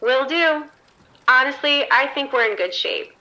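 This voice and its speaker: An upbeat female casino floor manager, played by an actress in a radio drama. Her voice is fairly high and very bright. She talks in a chatty, unruffled, matter-of-fact way. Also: measured pace, rough recording. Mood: reassuring. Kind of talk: scripted horror audio drama radio exchange.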